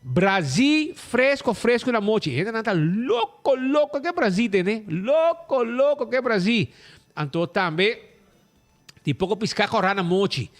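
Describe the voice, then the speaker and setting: Lively high-voiced Aruban man on radio. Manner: lively, rambling, mixes languages. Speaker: radio show host. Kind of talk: casual radio talk with ads.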